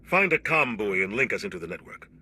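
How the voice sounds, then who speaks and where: An actor playing a stern, commanding starship captain in a video game, giving curt orders. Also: male voice, on the low side, very animated, fast talker, bright, forceful tone, husky, full character voice. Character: stern authoritative male captain, middle-aged. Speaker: ship captain.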